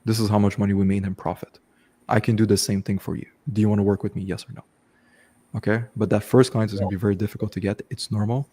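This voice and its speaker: Give me a man with a deep, warm voice hosting a casual live Q&A. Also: little pitch movement, fast talker. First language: French. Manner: casual, repeats "okay" and "bro", frequent restarts. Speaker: host coaching online business. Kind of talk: live stream Q&A, casual advice.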